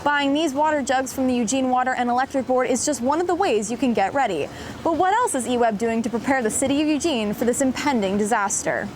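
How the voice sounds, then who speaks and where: High-voiced woman reporting the news. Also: fast talker, a little mellow, slight background noise. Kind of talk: scripted broadcast news reporting.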